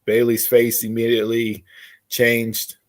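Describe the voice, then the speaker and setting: A man's voice: medium pitch, completely flat delivery, unhurried. A man co-hosting a podcast in casual conversation, giving emphatic opinions and repeating phrases to make his point. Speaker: podcast co-host. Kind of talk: casual wrestling predictions chat.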